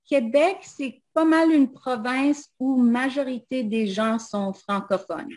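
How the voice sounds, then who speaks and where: Slow, halting woman. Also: high voice, darkish tone. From Montreal, Canada. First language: English. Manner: hesitant, many "euh" fillers, switches into English. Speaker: invited guest speaker. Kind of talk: casual personal talk.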